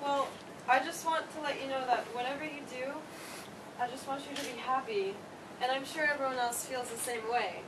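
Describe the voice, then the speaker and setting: A woman's voice: high-pitched, steady tone, moderate pace, close to an everyday voice. A woman in a staged play reading, playing an emotional college-age daughter who pleads with her mother. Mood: pleading. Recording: distant, noisy live stage recording.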